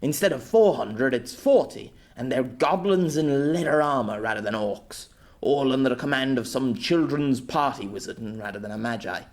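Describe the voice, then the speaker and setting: A man's voice: medium pitch, expressive, moderate pace, lightly acted. A man voicing a stuffy, proud old former knight with a formal, hesitant manner, in an audiobook story. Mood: explaining.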